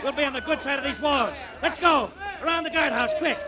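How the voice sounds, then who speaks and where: An actor playing a tough, suspicious convict with a clipped, barking delivery in a 1940s radio crime drama. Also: male voice, very high-pitched, fairly quick, a loud crowd. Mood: frantic, vengeful. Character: tough, suspicious male convict leading a jailbreak. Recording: vintage radio recording with loud commotion.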